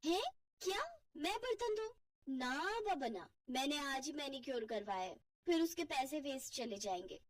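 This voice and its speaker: A woman's voice: sits high, lively intonation, normal speed, no room sound, far from the mic. A woman voicing a lazy, pampered, cheeky young wife, whining and defiant, in a family cartoon. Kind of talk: Hindi family cartoon voice acting.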